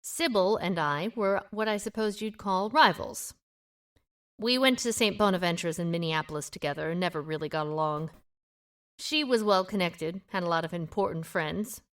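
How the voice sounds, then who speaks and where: A woman's voice: fairly low pitch, moderate pace, a bit bright, lightly acted. Woman acting a guarded, wry amateur sleuth. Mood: reluctant, reflective. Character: guarded, independent small-town woman amateur sleuth with a past. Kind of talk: audio drama dialogue acting.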